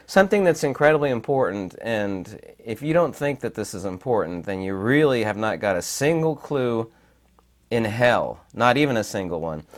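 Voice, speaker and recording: A man with a raspy, hoarse voice while recovering from illness, giving rambling, emphatic commentary with many fillers. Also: medium pitch. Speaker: channel host. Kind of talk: casual commentary to camera.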